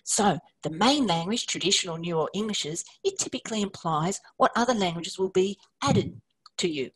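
A woman giving a measured conference presentation, explaining slides with occasional fillers. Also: mid-range voice, animated, slightly rough, poor audio. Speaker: academic presenter.

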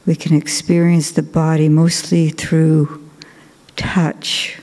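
A woman, a Buddhist nun, guiding a meditation in a slow, gentle, soft-spoken way with long pauses. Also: sits low, level tone. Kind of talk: guided meditation. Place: large cathedral. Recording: long cathedral reverb.

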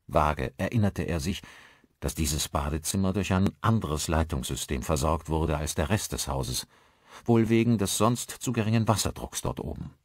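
A man's voice: rumbling low, no echo, clean studio sound. A man reading a scripted crime horror audiobook as narrator, with dramatic storytelling delivery.

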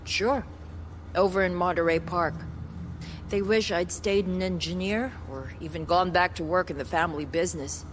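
A woman's voice: fairly low pitch, moderate pace, background noise, natural voice. An actress playing a blunt, spiritual, resolute woman survivor in a video game cutscene, with a matter-of-fact delivery. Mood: frank, defiant.

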